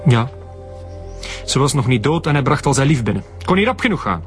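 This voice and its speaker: An actor playing a cynical, bitter comedian son with a Flemish accent and a mocking, defiant manner in a radio drama. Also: male voice, medium pitch, big pitch swings, brisk, soft background music, natural voice. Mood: bitter, scornful.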